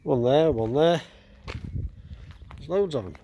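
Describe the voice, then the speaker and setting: A man giving casual walkaround commentary as a vlogger, halting and thinking aloud as he goes. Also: a little high, expressive, mellow, husky. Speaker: vlogger exploring an abandoned building. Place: outdoors, walking around a building. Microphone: handheld camera mic. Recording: outdoor ambient noise.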